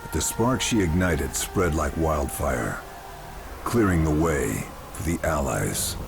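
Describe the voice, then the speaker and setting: An actor playing a weary, hardened American soldier, speaking in terse, understated lines with a gravelly voice. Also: male voice, rumbling low, animated, takes it slowly, exaggerated voice. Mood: grave, solemn. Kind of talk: scripted narration and dramatic game dialogue. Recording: music behind the voice.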